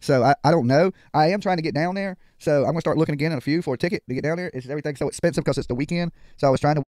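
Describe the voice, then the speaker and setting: A middle-aged man hosts a livestream at a very rapid clip, blunt and salty in his language. The sound is close and dry, like a headset in a small room, and his delivery is casual and streetwise. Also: on the high side, a little mellow, no echo. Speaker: livestream host reacting to a live video. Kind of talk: casual live commentary. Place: indoor home studio.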